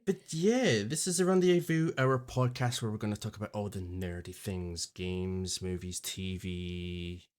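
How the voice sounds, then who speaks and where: A man's voice: lowish voice, measured pace, hardly any pauses, darkish tone. Chatty man hosting a podcast. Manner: chatty, joking, self-deprecating. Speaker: podcast host. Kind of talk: casual chat.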